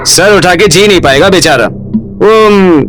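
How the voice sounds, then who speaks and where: A man's voice: high voice, unhurried. An Indian man playing a sneering, menacing ex-lover turned blackmailer in a TV crime drama, mocking and taunting. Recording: loud dramatic background music.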